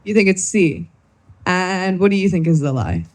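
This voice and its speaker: A woman's voice: on the low side, sing-song, normal speed, a little hiss or hum. A young woman from Kenya presenting to a workshop audience, friendly and playful as she runs a quiz. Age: young adult. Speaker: workshop speaker.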